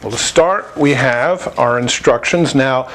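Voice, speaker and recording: A man narrating a product review as an audio installer, explaining clearly and at a measured pace. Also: mid-range voice, fairly bright tone, rough recording.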